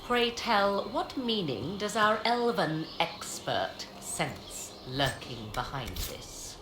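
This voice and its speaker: A woman's voice: medium pitch, big pitch swings, slow pace, background noise. An actress playing a haughty, knowing witch in a video game, speaking in formal, archaic, sardonic phrases. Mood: sardonic, defensive. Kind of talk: dramatic video game voice acting. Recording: echoey game audio under music.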